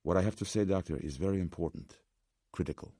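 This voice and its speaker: A man voicing an anxious, formal old gentleman with a Slavic accent, speaking deliberately as an audiobook character. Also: sits low, dry recording, clean studio sound. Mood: earnest, urgent. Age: older. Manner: formal, deliberate, agitated but striving to speak calmly.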